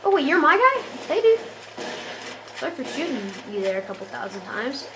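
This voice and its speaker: A woman's voice: high voice, very animated, a little fast, background noise. A woman giving casual, jokey commentary while playing a shooter game, often exasperated and talking to the characters on screen. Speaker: gamer.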